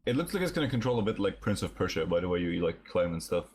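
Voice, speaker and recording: A man's voice: low-pitched, sing-song, fast talker, husky. A man co-hosting a podcast, chatting casually in a group. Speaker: podcast co-host. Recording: loud overlapping voices behind. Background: other people's chatter.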